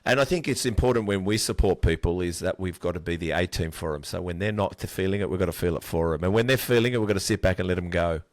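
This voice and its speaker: A man's voice: low-pitched, no room sound, studio quality. Encouraging male podcast host. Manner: encouraging, many quick yeahs. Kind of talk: casual interview chat.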